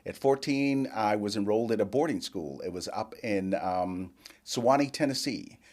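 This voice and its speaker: An older man who grew up in the Bahamas, a guest in a casual interview, telling his life story with lively quoted dialogue. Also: lowish voice, normal speed. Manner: storytelling, animated quoting of others.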